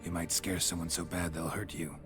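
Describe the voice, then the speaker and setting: An actor playing a laconic, dry-witted monster hunter in a video game, gravelly and terse. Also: male voice, low voice, fairly quick, music in the background, natural voice. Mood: calm, firm. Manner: terse, deadpan. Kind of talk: video game character acting.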